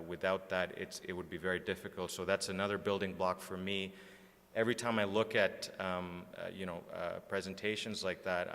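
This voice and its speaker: Deep-voiced man presenting in an echoey hall. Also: fairly bright tone. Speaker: media agency planner, conference speaker. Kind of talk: conference panel presentation. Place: large indoor conference room, on stage. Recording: echoey hall, long reverb.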